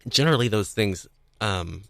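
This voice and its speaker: A man hosting a podcast, talking casually about audio dramas in a halting, hedging way and often chuckling. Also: lowish voice, lively intonation, gravelly, sharp tone, no echo. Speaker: podcast host.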